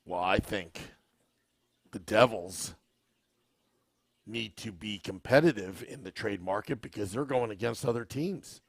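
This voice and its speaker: A man's voice: fairly low pitch, creaky, no room sound. A man hosting a live-streamed podcast, talking with a scratchy, worn voice, chatting and reading viewer comments. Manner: relaxed, unhurried, asks the audience questions. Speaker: podcast host.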